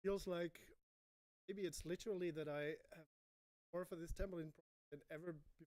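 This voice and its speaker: A man, a composer and guest, chatting casually on a livestream in a halting, self-effacing way. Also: on the high side, fairly even intonation, darkish tone, dry recording. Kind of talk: casual self-introduction and chat.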